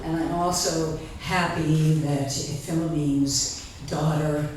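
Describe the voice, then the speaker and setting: A woman giving a live literary reading, deliberate and dramatic, pausing between short phrases. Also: deep voice, steady tone, darkish tone, distant mic. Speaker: author reading at a literary event. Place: indoor reading event before an audience. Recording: echoey room from afar, faint noise.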